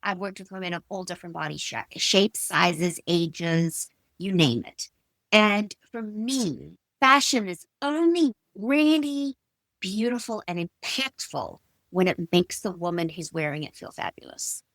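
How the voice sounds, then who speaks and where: A middle-aged woman with a South African accent, answering in an interview with emphatic, expressive storytelling and personal anecdotes. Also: on the low side, unhurried, dry recording. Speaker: guest, stylist and designer.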